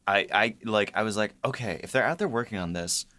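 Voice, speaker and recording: A man with a voice a little on the low side chats as a podcast host and writer. His delivery is informal and jokey. He tends to quote his own thoughts as he explains things. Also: a little fast, bright, forceful tone. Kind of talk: casual behind-the-scenes chat.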